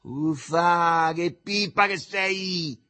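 A man's voice: on the high side, measured pace, no room sound. Man voicing a sullen, insolent Roman youth. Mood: exasperated. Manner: muttering, bitter, contemptuous. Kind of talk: dramatic audiobook character reading.

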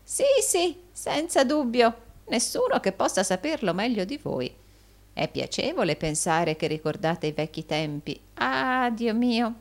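A woman voicing a sly old male moneylender in an audiobook, gushing flattery in repeated exclamations. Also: fairly high pitch, unhurried, pitched above the performer's own voice. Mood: gleeful flattery. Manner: gushing, repetitive, exclaiming with groans and chuckles.

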